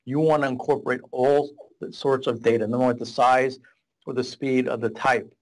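A man's voice: medium pitch, fairly even intonation, moderate pace, rough recording. Middle-aged man explaining on a video call. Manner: rambling, restarts, gestures a lot while explaining. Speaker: guest, data warehouse architect. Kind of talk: casual explanatory interview. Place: small office room with whiteboard. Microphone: video call webcam.

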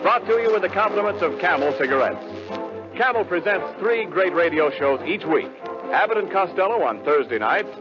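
A man's voice: high voice, expressive, normal speed, over music. An American man announcing on 1940s radio, reading scripted copy with a polished, emphatic salesman's delivery. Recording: muffled 1940s radio broadcast.

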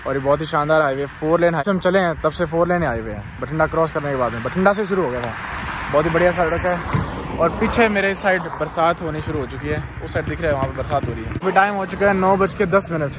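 A man from Rajasthan chatting casually to his camera as a travel vlogger, talking to viewers from a car on a highway. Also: fast talker. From Rajasthan, India. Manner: chatty, relaxed, addresses viewers as guys. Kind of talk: casual vlog narration to camera. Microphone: compact camera's built-in mic. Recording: loud road and engine noise.

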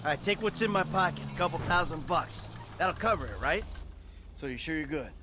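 An actor playing a gruff, profane, sarcastic middle-aged American retired criminal and father in a video game cutscene. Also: on the high side, animated, fairly quick, close to an everyday voice. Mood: weary, subdued. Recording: noisy vehicle ambience behind voice.

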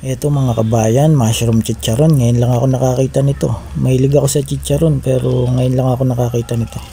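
A man's voice: mid-range voice, steady tone, a little fast, creaky, mellow. Man vlogging over background music. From Philippines. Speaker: travel vlogger. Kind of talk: casual vlog narration. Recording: music behind the voice.

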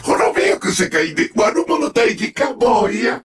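An actor playing a sadistic, mocking combat-robot villain in a Japanese video game, with sneering, theatrical, drawn-out taunts. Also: male voice, sits high, animated, a bit bright, raised voice, distant mic. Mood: gloating.

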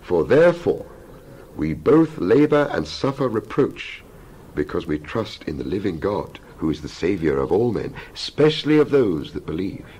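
Deep-voiced man giving dramatized scripture reading. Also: very animated, a little mellow, breathy edge, low-quality recording. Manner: solemn, declamatory, measured phrasing. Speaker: Bible reader.

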